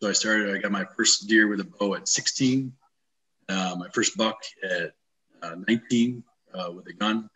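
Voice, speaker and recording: A man from New York State introducing himself in a plainspoken, relaxed way on a video call. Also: on the low side, normal speed, rough recording. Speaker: panel speaker.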